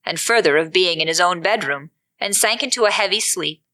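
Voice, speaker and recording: Bright-voiced woman narrating a story. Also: fairly low pitch, fairly quick. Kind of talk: scripted storybook narration for audio drama.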